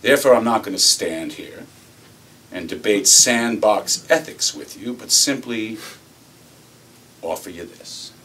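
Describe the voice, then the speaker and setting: A man acting as swaggering executive, sardonic storyteller, forceful bright voice, theatrical pauses, recorded from a distance with faint noise. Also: fairly low pitch, expressive. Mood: smug, cutting. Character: cynical, swaggering American corporate executive telling a story. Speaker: actor performing a monologue. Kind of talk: theatrical stage monologue, conversational storytelling. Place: acting workshop room.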